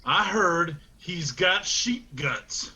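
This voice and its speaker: An actor playing a gloating, taunting mountain bully in a family feud, with an Appalachian accent, in a radio drama. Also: male voice, sits high, lively intonation, pushed and bright, exaggerated voice. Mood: gloating, mocking. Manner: taunting, drawn-out.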